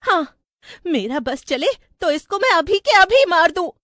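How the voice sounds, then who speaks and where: Super high young village wife, woman acting it, cartoon story, earnest and plaintive, dry sound. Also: big pitch swings, unhurried, a bit bright, dry recording, heavily acted. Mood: distressed, determined. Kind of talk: animated Hindi story acting.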